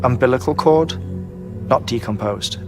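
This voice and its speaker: An actor playing an earnest, eccentric male scientist who lectures in measured, deliberate phrases in a video game cutscene. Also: medium pitch, music in the background, close to an everyday voice. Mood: intent, explanatory.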